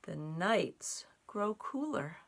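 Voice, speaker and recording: A woman with a low, noticeably creaky voice works as a storyteller and teacher. She explains things simply, in the manner of someone talking to young children. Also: big pitch swings, very slow. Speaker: storyteller and sign language teacher. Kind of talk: picture-book read-aloud and casual sign language teaching.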